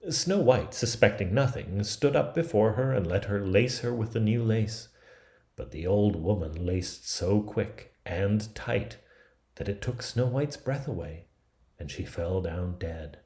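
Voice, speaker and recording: A man in his fifties from Canada narrating a fairy tale as a storybook reader, with deliberate, dramatic phrasing. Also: lowish voice, expressive, a little mellow, roomy sound. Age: 50s.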